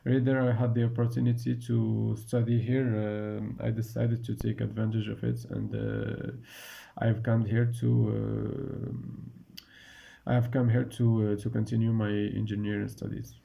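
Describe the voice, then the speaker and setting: A man in his twenties from Morocco, an engineering student answering interview questions hesitantly with many pauses and restarts. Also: fairly low pitch, flat delivery, gravelly, mellow. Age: 20s. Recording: dull lo-fi sound.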